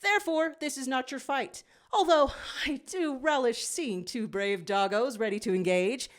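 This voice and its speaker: A woman's voice: high-pitched, normal speed, voice pushed up, lightly acted. A woman voicing a smug male canine villain, wordy, pompous and condescending, in a storybook reading. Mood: haughty, scheming. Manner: pompous, formal wording.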